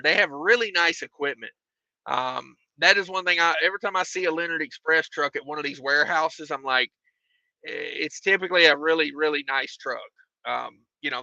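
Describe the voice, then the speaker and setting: A man chatting casually on a trucking livestream, a bit high-voiced and very bright in tone, though his voice is wearing thin and hoarse. He rambles, repeats himself and fills pauses with ums. The sound is dry and dull, like a lo-fi setup. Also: no echo. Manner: laid-back, repeats phrases, many fillers. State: losing his voice. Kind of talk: casual livestream chat reading viewer comments.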